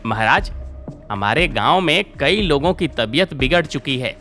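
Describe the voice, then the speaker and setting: Man playing a bright, swooping scheming food seller. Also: fairly high pitch, expressive, a little slow, faint music behind, heavily acted. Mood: confident. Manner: goading, exclamatory. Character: scheming, indignant male rival biryani seller.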